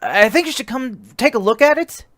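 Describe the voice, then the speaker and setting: A man's voice: very high voice, expressive, fairly quick, a bit bright, dry recording. Man playing a nervous, hesitant teenage boy. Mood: nervous, uneasy. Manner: hesitant, stammering, polite.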